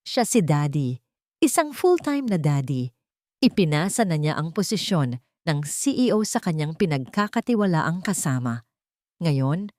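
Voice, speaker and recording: A woman narrates a scripted drama as an audiobook reader. Her pitch is a little lower than most women's. The recording is dry, with very little room sound. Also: darkish tone, no echo.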